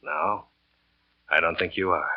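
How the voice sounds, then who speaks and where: An actor playing a cool, methodical murder plotter in a terse 1940s radio noir style. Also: male voice, low voice, big pitch swings, a little slow, gravelly, full character voice. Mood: cool, commanding. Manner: terse, controlled. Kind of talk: 1940s radio noir acting, hard-boiled. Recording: dull, hissy vintage radio recording.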